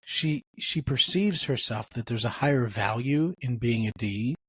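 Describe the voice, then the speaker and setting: A man's voice: mid-range voice, a little slow, a bit bright, breathy edge, dry recording. A man hosting a podcast, chatting casually in a rambling way, restarting sentences and reaching for analogies. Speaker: podcast host. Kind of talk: casual interview chat.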